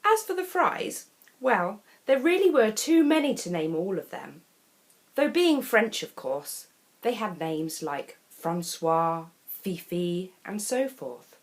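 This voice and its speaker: Slow, very animated woman reading to children. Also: medium pitch. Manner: expressive, deliberate dramatic pauses. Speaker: storyteller reading children's picture books.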